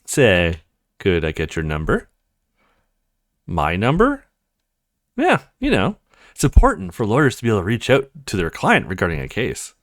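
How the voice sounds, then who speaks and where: A man reading visual novel dialogue aloud as a let's play streamer, acting out the characters' lines in an animated way. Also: on the low side, moderate pace, pauses often, no room sound, clean studio sound.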